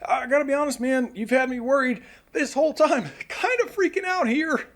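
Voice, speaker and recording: An actor playing a friendly, nervous post-apocalyptic radio host, chatty and stammering, in a comedic audio drama. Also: male voice, extremely high pitch, normal speed, a bit bright. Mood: worried.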